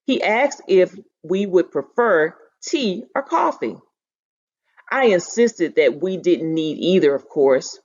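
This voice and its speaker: A woman's voice: lowish voice, animated, slow, a little mellow, exaggerated voice. A woman voicing a teasing, affectionate mother in a storybook with a wry, knowing manner, in a dull lo-fi recording. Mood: lightly teasing. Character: teasing, affectionate mother who likes books. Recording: dull lo-fi sound.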